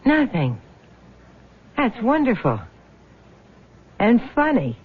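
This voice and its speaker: An actress playing a hard-boiled, greedy small-town widow in a radio drama, blunt and slangy. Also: female voice, a little high, wildly swooping pitch, slow, breathy edge, phone-quality sound, exaggerated voice. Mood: scheming. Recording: thin band-limited old radio recording.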